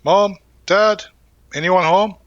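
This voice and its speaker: A man's voice: high voice, steady tone, slow, a bit bright, no room sound, highs cut off, pitched above the performer's own voice. A man playing a caring, protective college student sibling in an intimate audio roleplay, casual and earnest. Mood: calling out.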